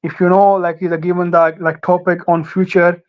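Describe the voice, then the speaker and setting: A young man from Pakistan with a Pakistani accent, Urdu his first language, giving halting advice as a guest with many fillers and restarts. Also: sits high, little pitch movement, clean tone, highs cut off. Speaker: guest, former student. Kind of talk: casual interview advice.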